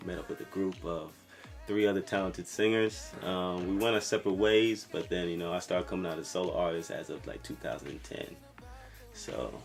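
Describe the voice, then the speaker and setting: A young man from Brooklyn, New York, a singer-songwriter talking casually about his music with a soft voice and lots of "you know"s. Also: low-pitched. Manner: relaxed, rambling, lots of fillers like "you know".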